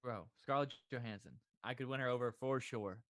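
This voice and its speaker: A man's voice: medium pitch, brisk, fairly bright tone, no echo. Teasing, incredulous man on a podcast. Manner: incredulous, teasing, swears freely. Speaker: podcast co-host answering questions. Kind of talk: casual crude banter.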